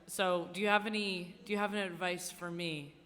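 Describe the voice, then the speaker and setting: A woman's voice: on the low side, steady tone, a little fast, a bit bright. A woman hosting a radio show, asking questions in a casual, conversational way. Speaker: radio show host, interviewer. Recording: reverberant room.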